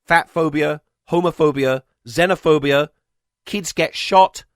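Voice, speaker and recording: A man's voice: a little high, measured pace, no room sound, studio quality. A man delivering a scripted comedic film critique as a voice-over, sarcastic, emphatic, exasperated and sweary. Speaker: video essayist, film reviewer.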